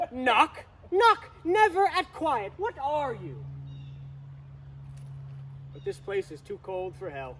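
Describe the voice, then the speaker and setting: A man's voice: very high-pitched, sing-song, drawn-out words, pauses often, fairly bright tone, full character voice. Young man acting a swooping, grumbling castle porter. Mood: grumbling, weary. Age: teenager. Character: grumbling, rambling castle porter playing hell's gatekeeper. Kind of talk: dramatic Shakespeare reading. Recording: outdoor recording with traffic noise.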